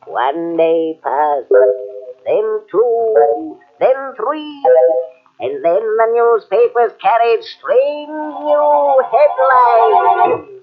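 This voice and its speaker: Ominous storyteller, lo-fi radio. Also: male voice, very high-pitched, expressive, heavily acted. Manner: measured, drawn-out, theatrical. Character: ominous storyteller reading from a sealed book. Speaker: narrator. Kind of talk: 1940s radio scripted narration. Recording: dull, lo-fi old radio cassette recording.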